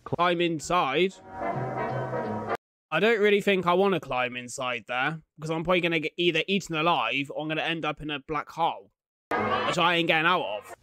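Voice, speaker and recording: Casting: British male reaction host, fairly high voice, chatty and colloquial, over loud music. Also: brisk. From United Kingdom. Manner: chatty, colloquial, with tag questions. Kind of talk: casual reaction commentary. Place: small indoor room. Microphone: desktop condenser microphone, close. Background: music from the video being watched.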